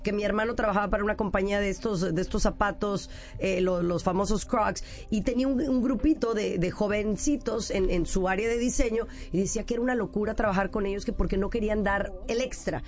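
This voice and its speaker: A woman co-hosting a talk show, relaxed and conversational as she tells a story. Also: mid-range voice, a little fast. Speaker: radio co-host. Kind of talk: casual talk show chat.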